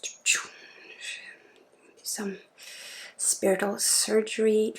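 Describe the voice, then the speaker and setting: A woman gives a spiritual ASMR healing session, speaking softly and informally with pauses and often dropping into a breathy whisper. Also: medium pitch, little pitch movement. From Sweden. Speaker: spiritual healer and ASMR host.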